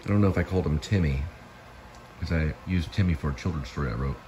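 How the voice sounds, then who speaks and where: A man with a very low-pitched voice hosts in a loose, rambling monologue. He speaks haltingly but with emphasis, often repeating himself. A steady layer of noise sits behind his voice. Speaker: show host.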